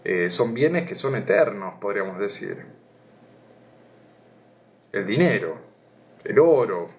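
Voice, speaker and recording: A man's voice: fairly low pitch, expressive, slow pace. An Argentine man with a Rioplatense accent, reading philosophy aloud on a live stream and chatting with viewers, thinking aloud with many hesitations. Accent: Argentine Rioplatense Spanish. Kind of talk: casual live reading and chat.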